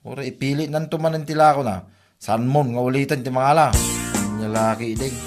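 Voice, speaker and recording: An actor plays a plain-spoken husband in a comedy radio drama, with faint music behind him. His medium-pitched voice chides and advises in a matter-of-fact way. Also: a little fast, natural voice. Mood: admonishing.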